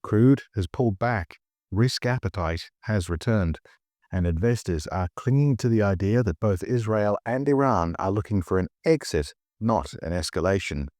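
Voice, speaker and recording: A man hosting a financial news podcast, reading a script in a measured, polished newsreader delivery. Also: fairly low pitch, animated, darkish tone, dry recording, studio quality.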